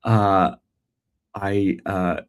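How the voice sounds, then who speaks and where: A middle-aged Australian man hosting a casual morning livestream, thinking aloud with pauses and restarts while greeting his viewers. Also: low voice, steady tone, clean tone. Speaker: host.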